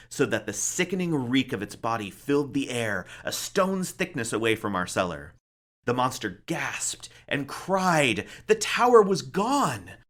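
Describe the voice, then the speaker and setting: A man reading a somber story aloud as a librarian, with theatrical, deliberate phrasing. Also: medium pitch, a bit bright. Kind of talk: dramatic storybook narration.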